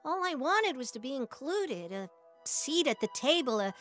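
An actor voicing a small, self-pitying, boastful male villain creature in an animated game, whiny and theatrical. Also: high voice, normal speed, soft background music. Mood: pleading, defensive. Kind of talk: animated game cutscene acting.

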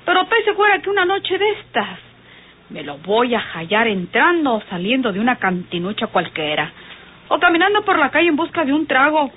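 A woman's voice: high-pitched, sing-song, brisk, slightly rough, exaggerated voice. An actress playing a brash, sardonic Mexican woman of the streets in an old radio drama. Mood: bitter. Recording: tinny old radio recording.

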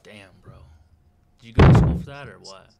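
Hyped young man on a noisy stream. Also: brisk. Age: young adult. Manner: loud, teasing, hyped. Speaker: video host chatting with strangers. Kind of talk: casual online chat. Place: small room indoors.

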